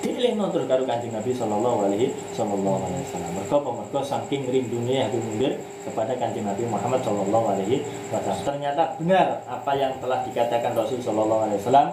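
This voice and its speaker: Brief: male religious teacher, medium pitch, restarts words, echoey room with audible hiss. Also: animated, racing. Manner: mixes in Javanese words, asks himself rhetorical questions; restarts words and draws out key phrases. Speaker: religious teacher leading a book study. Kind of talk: religious study lecture.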